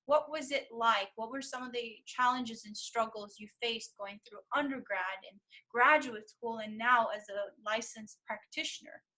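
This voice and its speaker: A young woman hosting an interview, chatty and animated, sharing her own student experiences. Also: a little high, moderate pace, hardly any pauses, rough recording. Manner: chatty, lots of fillers, animated. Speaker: interview host, medical student. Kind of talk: casual interview conversation.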